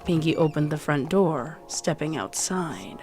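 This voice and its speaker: A woman's voice: low voice, sing-song, measured pace, loud music behind the voice. A woman reading scripted story narration as the narrator.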